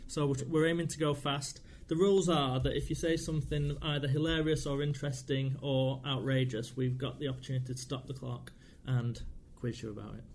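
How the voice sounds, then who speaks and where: A man's voice: mid-range voice, steady tone, fairly quick, few pauses, a little mellow, slight background noise. A man co-hosting a podcast, putting questions to a guest in a casual way. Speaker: podcast co-host, quizmaster. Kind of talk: casual quick-fire questioning.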